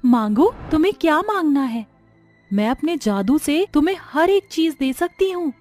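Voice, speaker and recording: Woman playing a high-voiced gracious fairy. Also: very animated, normal speed, darkish tone, no room sound. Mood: grateful, confiding. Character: freed magical fairy, gracious and grateful. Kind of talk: animated fairy-tale story acting. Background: music.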